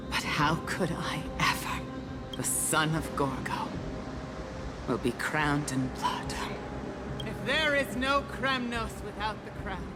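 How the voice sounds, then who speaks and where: An actress playing a proud, fierce warrior queen and mother in a video game, speaking in commanding, theatrical, formal oratory. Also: on the high side. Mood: grieving, resolute. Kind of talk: dramatic video game voice acting. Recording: loud music under the voice.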